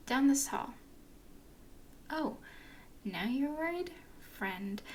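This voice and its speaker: A woman voicing a flirty, playful female werewolf guide in an ASMR roleplay, speaking in soft, teasing whispers. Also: fairly high pitch, vocal fry, slight background noise.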